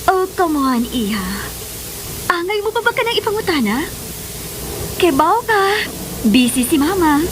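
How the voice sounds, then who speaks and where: A woman's voice: very high voice, big pitch swings, measured pace, long pauses, exaggerated voice. An actress playing an affectionate, middle-aged mother in a radio drama, with gushing, emotive delivery and English phrases mixed in. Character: affectionate, busy mother savoring secret romantic happiness. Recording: noisy, hissy radio drama audio.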